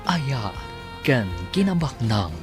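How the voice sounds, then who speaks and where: A man narrating and announcing a radio drama in a measured broadcast manner. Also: mid-range voice, big pitch swings. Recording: radio studio with music bed.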